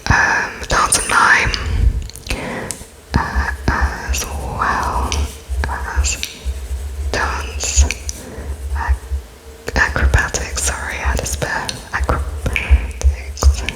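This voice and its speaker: Woman whispering real slow for asmr, breathy, halting little phrases, polite interviewer roleplay. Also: poor audio. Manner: slow, halting, spaced-out phrases as if writing notes, polite and apologetic.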